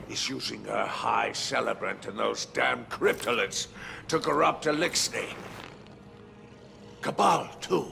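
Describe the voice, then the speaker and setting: An actor playing a sly, menacing alien crime baron in a game cutscene, with a gravelly, mocking, theatrical delivery. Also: male voice, medium pitch, pushed and bright, slight background noise, heavily acted. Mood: sly, scheming. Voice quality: gravelly, creaking growl. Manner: drawn-out, sardonic, dropping to breathy asides. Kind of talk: dramatic video game cutscene acting.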